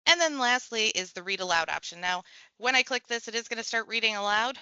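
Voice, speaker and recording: A woman walking through a software tutorial step by step, in a relaxed conversational way. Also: a little high, fairly quick, clear, resonant tone, no echo, highs cut off. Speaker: tech trainer. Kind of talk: screen-share software tutorial.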